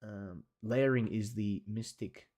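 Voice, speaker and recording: A man teaching watercolour on a live stream, chatting casually with viewers in an encouraging way and pausing often. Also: fairly low pitch, fairly even intonation, dark tone. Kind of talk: casual livestream chat reading and answering viewer comments.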